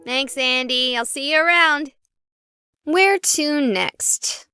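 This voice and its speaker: A young woman streamer in a visual novel, excitable and chatty. Her voice is high and bright, with theatrical, playful expression, over music. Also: takes it slowly, no room sound, exaggerated voice. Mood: curious, playful.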